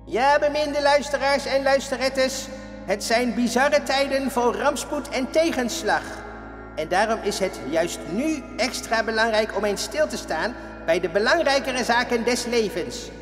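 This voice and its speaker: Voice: mid-range voice, expressive, unhurried, roomy sound, big-room sound, music in the background, close to an everyday voice. An actor voicing Jaap, a brash, indignant puppet boy who hosts a comedy podcast and speaks in grandiose complaints. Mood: pompous preaching. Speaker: podcast host. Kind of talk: comic sketch dialogue and mock sermon.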